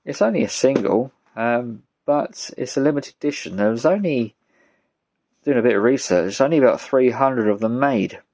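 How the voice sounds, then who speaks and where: A British man giving a casual, rambling show-and-tell as a vlogger, speaking in a halting, low-key way. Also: animated, darkish tone. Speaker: vlogger showing a music collection.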